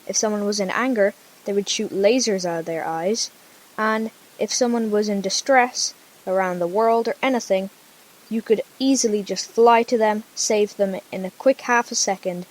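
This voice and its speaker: A woman's voice: mid-range voice, moderate pace, low-quality recording. A female storyteller narrating an amateur radio drama informally, with casual conversational asides.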